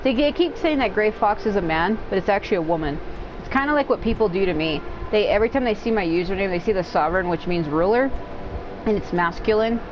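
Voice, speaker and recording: A woman's voice: mid-range voice, animated, fast talker, background noise. A woman gaming streamer giving casual, blunt commentary peppered with swearing, as she plays.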